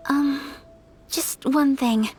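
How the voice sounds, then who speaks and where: A woman playing a gentle, shy young noblewoman in a video game, speaking with formal, earnest courtesy. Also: high voice, steady tone, very slow, faint music behind. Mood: polite, bashful.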